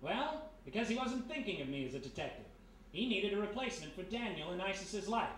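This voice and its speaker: Picture a brash private eye from a vintage radio mystery played by a man, slangy and sure of himself as he lays out the case. His voice sits high for a man, and the live stage recording is roomy with a faint noise underneath. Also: big pitch swings, fairly quick, fairly bright tone. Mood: confident, sardonic. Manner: wisecracking, slangy, explaining confidently. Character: brash American private detective, reformed thief, laying out a case. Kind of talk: 1930s-style detective radio play acting before a live audience. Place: live performance in a historic house.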